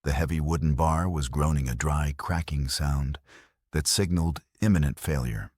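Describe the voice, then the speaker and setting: A man with an extremely low, husky voice narrates a dramatic story. His delivery is scripted and theatrical, and the sound is close and dry. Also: moderate pace, darkish tone, dry recording.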